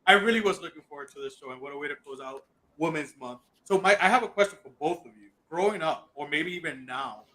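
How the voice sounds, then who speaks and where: Man, podcast host, animated, chatty, high pitch, very bright tone. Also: quick pace, rough recording. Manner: animated, chatty, apologizes for cutting in. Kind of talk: casual podcast chat. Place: city, at home.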